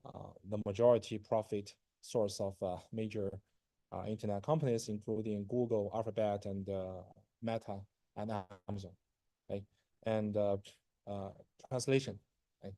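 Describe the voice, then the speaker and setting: Brief: man, 40s, native Chinese speaker, university lecturer; warm, relaxed voice, dry close sound, halting delivery with lots of "uh" and "right?". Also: on the low side, dry recording. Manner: halting, many fillers and restarts, asks "right?" often; frequent throat clearing. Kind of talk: classroom lecture.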